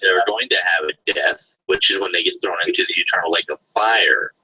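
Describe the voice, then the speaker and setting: A man in an online debate, speaking in a preachy, slow, insistent way. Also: sits low, sharp tone, slightly rough. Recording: dull lo-fi stream audio with faint noise.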